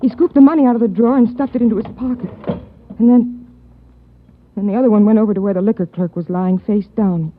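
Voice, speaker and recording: An actress playing a shaken young woman recalling a crime she witnessed in a 1940s radio drama, halting and stammering. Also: medium pitch, natural voice. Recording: thin phone-like 1940s radio.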